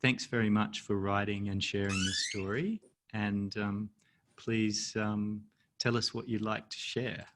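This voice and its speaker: A man with an Australian accent hosting an online storytelling event over a video call, relaxed and hesitant with many pauses. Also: on the low side, slight background noise.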